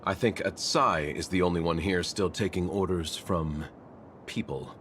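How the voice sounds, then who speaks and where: A man voicing a calm, courteous gentleman traveller in a video game, speaking in a measured, polite way. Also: sits low, a little hiss or hum.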